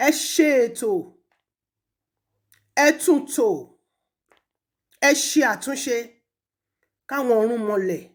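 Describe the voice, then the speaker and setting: High-pitched Nigerian woman delivering a scolding rebuke. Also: lively intonation. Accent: Nigerian Yoruba. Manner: accusatory, scolding, emphatic, with pointed pauses. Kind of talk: impassioned public rebuke, switching between languages.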